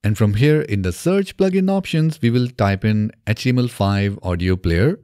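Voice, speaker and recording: Man, tutorial presenter, mellow tone, methodical, dry close-mic studio sound. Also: on the low side, lively intonation, moderate pace, no room sound. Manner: methodical step-by-step explaining. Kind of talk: scripted software tutorial narration. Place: small indoor home studio. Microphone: close desk microphone on boom arm.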